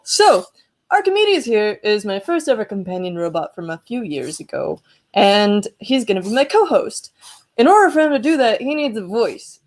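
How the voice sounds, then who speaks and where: A woman talking casually and enthusiastically to viewers as the host of a tech live stream. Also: mid-range voice. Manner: enthusiastic, exclaiming.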